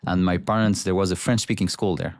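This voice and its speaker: A man from Costa Rica who grew up in Switzerland, with Spanish as his first language, answers interview questions in a relaxed, measured way with frequent hesitant fillers. His voice is very deep. The sound is dry and close. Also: no echo. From Costa Rica, raised in Switzerland. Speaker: guest, pharma country director.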